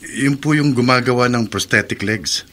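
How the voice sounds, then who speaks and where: A man's voice: mid-range voice, normal speed, fairly bright tone, slight background noise, lightly acted. An actor in a Filipino radio drama playing a respectful soldier who cares for his family. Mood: earnest, caring. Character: caring soldier, respectful son and protective brother.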